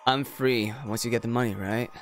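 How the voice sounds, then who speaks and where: A man's voice: mid-range voice, steady tone, moderate pace, fairly bright tone, a little hiss or hum, close to an everyday voice. A man voicing a composed, wary eighteen-year-old rich boy held captive in a visual novel. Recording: music behind the voice.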